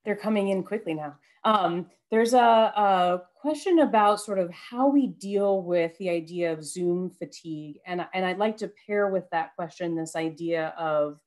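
Lowish-voiced young woman moderating a panel. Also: unhurried, few pauses, darkish tone. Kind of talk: moderating an online panel discussion. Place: room at home, video call. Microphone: headset boom mic.

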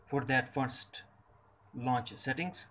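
A man's voice: mid-range voice, slow pace, a bit bright. A man reading a scripted step-by-step tech tutorial in short, separated instructional phrases. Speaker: tutorial presenter.

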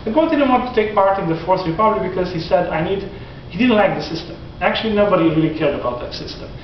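A man's voice: sits high, quick pace, slight background noise. Man lecturing in an echoey classroom. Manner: animated, gesturing, restarts sentences often. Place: classroom in front of whiteboard. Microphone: far camera. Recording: echoey classroom from far off, dull lo-fi.

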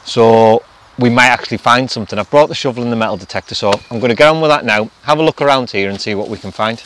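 A man's voice: medium pitch, fast, a bit bright, slight background noise. A middle-aged man, outdoors in a forest, speculating and explaining things as he goes in a casual vlog. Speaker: vlog host restoring a château. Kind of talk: casual walk-and-talk vlog narration.

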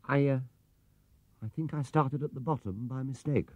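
A man's voice: mid-range voice, normal speed, dry recording, phone-quality sound, pitched above the performer's own voice, close to an everyday voice. A man voicing a polite, earnest, sheepish young bear in a storybook. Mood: sheepish, sad.

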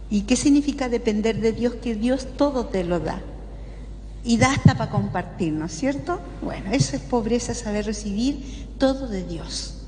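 A woman's voice: fairly high pitch, dark tone. An older woman with a Chilean accent preaches as a religious sister to a live audience, conversationally, often checking in with tag questions. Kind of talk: informal spoken sermon to a live audience. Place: large indoor parish hall. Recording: echoey hall with clear background noise.

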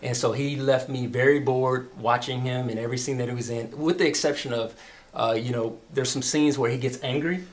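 A man's voice: mid-range voice, fairly even intonation, brisk. A man in his thirties with an American accent, reviewing films conversationally as a co-host in a small room.

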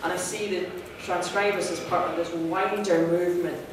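A woman's voice: on the low side, steady tone, normal speed, far from the mic. Woman in echoey hall, fluent panel talk. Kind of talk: conference panel remarks. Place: conference room, seated at panel table. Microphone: handheld mic. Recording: echoey room heard from afar, noisy.

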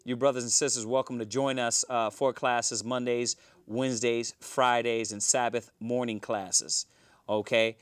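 A man's voice: mid-range voice, little pitch movement, unhurried, smooth, no echo. A man in his thirties hosting a live talk show from a small studio, rambling with fillers and restarts. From Houston, Texas. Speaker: host.

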